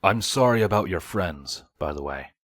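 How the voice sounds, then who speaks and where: A man reads as a grave, dutiful male guard officer in a storybook tale. He speaks formally and with measure, in a lowish voice with a dry, close sound. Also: animated, normal speed, a bit bright, no room sound. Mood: solemn, sympathetic.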